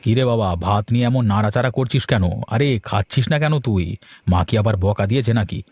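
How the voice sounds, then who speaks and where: A man voicing an indulgent village father in a cartoon, gently chiding and coaxing. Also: lowish voice, fast, no room sound, lightly acted. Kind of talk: cartoon voice acting.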